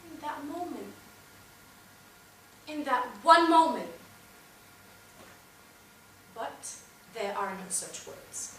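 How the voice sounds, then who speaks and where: A young woman playing a passionate, yearning stage actress in a theatrical monologue, with dramatic, halting delivery that comes in bursts. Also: sits high, very animated, full character voice. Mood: impassioned yearning. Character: passionate, yearning stage actress mourning her lost gift. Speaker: drama student performing an exam monologue. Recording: very echoey room, distant mic, faint noise.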